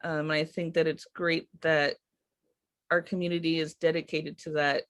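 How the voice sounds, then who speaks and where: A woman's voice: deep voice, little pitch movement, moderate pace, fairly bright tone. A woman speaking as a panelist at a community roundtable, reciting and commenting. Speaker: roundtable panelist reading own poem. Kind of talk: poem recitation and panel remarks.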